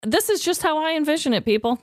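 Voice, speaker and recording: A woman's voice: high voice, expressive, fast talker, no echo, clean studio sound. A woman co-hosting a podcast in casual, playful comedic chat. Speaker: podcast co-host.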